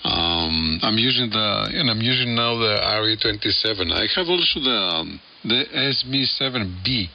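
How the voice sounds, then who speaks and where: A man with a Greek accent talking casually on amateur radio, deliberately, often repeating his phrases. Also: fairly low pitch, lively intonation, creaky. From Greece. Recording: slightly dull shortwave radio with faint hiss. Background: faint radio hiss.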